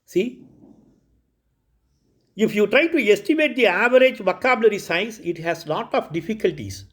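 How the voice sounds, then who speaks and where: A man from India with a Tamil Indian English accent, a lecturer giving a recorded lesson, explaining slowly with frequent repetitions and self-corrections. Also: high-pitched, animated. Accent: Indian English, Tamil Nadu.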